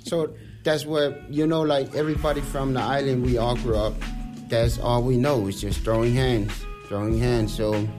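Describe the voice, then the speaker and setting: A man's voice: medium pitch, steady tone, moderate pace, over music. A young man from Samoa, a guest musician, talking casually in a loose, repetitive way. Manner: laid-back, loose, repetitive phrasing. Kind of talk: casual interview.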